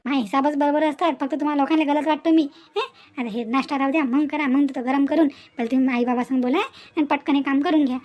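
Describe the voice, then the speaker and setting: A woman's voice: high voice, steady tone, quick pace, hardly any pauses, a little mellow, natural voice. A woman with a Vidarbha Marathi accent playing a greedy, bossy young daughter-in-law in a cartoon family drama, wheedling and insistent. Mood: coaxing, boastful. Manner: insistent, wheedling, punctuated with questioning grunts.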